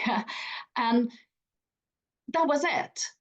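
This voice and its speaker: A Ukrainian woman in her forties, a native Russian speaker, giving a conference talk in a reflective way, with restarts and soft laughs. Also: fairly high pitch, extremely slow, lo-fi sound. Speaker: museum speaker.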